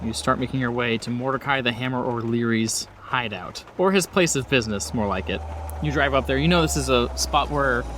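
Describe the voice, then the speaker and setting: A man's voice: mid-range voice, a little fast, fairly bright tone, slight background noise. A man casually narrating a tabletop roleplaying game as the game master.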